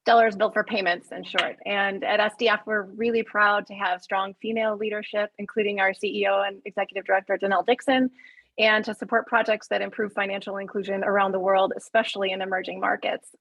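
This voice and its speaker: A woman's voice: medium pitch, flat delivery, fast talker, gravelly, no room sound, lo-fi sound. A woman giving a polite, formal, prepared introduction as a panelist representing an organisation.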